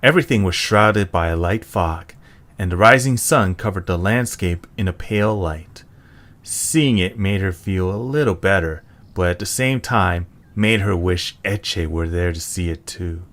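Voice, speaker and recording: A man's voice: low-pitched, a little slow, a bit bright. A man narrating a scripted story.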